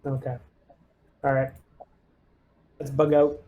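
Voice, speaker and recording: A man's voice: medium pitch, completely flat delivery, slow, narrow, tinny audio, a little hiss or hum. A man talking informally and hesitantly as a player in a tabletop roleplaying game, thinking aloud in character. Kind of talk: casual in-character table talk.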